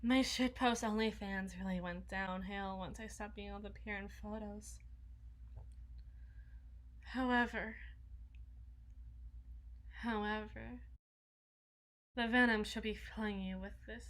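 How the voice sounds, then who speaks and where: A woman's voice: mid-range voice, a bit bright, poor audio. A woman playing a flustered, needy, socially awkward young vampire in an intimate ASMR roleplay, stammering and slipping into whispers. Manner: stammering, breathy asides, dropping into whispers.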